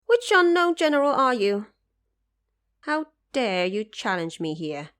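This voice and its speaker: A woman's voice: fairly high pitch, big pitch swings, a little slow, a bit bright, voice pushed up. A woman voicing a cocky, mocking male monkey king in an audio drama, with a taunting, condescending manner. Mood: loud challenge. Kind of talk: audio drama character acting.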